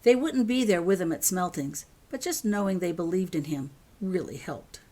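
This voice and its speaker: A woman's voice: on the low side, lively intonation, moderate pace, a little mellow. A woman narrating scripted fiction as an author reading her own story.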